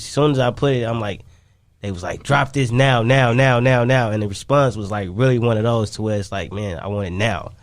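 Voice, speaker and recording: Male artist guest, Chicago accent, lower register, close dry recording, loose slangy interview delivery. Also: no room sound. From Chicago, United States. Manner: slangy, repetitive, emphatic phrasing. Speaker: guest, independent music artist. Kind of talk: casual interview talk.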